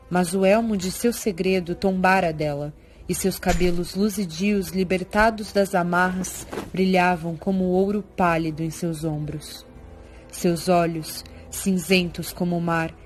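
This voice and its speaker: A woman narrates a fantasy tale in a fairly low voice with a Brazilian accent. The delivery is scripted and dramatic, with prominent music underneath. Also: a little mellow.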